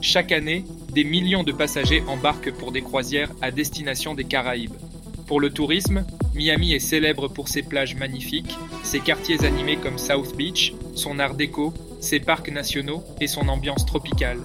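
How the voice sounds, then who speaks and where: A man presenting a short segment, reading scripted facts evenly and smoothly. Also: on the high side, steady tone, moderate pace, music in the background. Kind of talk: scripted fact narration.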